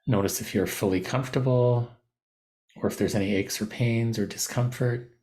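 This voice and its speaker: A man leading a guided body scan meditation as a teacher, giving gentle, unhurried instructions with pauses mid-phrase. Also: medium pitch, steady tone.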